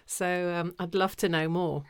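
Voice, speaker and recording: A woman hosts an interview and asks her guest questions with friendly enthusiasm. Her voice is fairly low with a gravelly edge, recorded close and dry with no room sound. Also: flat delivery, a little slow, dry recording. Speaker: interview host. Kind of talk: podcast interview.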